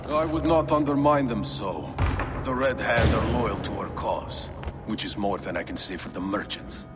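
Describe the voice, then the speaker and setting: An actor playing a menacing, grandiose crime boss in a dramatic video game, moving between mock-soothing murmurs and commanding shouts. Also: male voice, lowish voice, normal speed, loud music behind the voice, natural voice. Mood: smug, grandiose. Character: menacing, theatrical male crime boss preaching loyalty.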